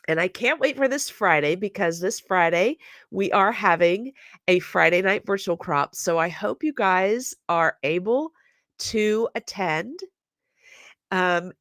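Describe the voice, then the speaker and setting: Woman, stuffed-up cold voice, gravelly low tone, slow chatty delivery, dry close sound. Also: fairly bright tone, dry recording. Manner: chatty, apologetic, lots of fillers. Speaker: scrapbooking channel host. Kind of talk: casual chat to viewers.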